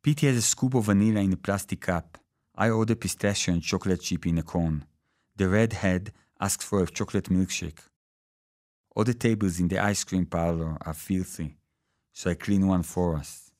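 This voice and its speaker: A man's voice: sits low, normal speed, darkish tone, no echo, clean studio sound. A man, an author, reading his own literary short story aloud in the first person.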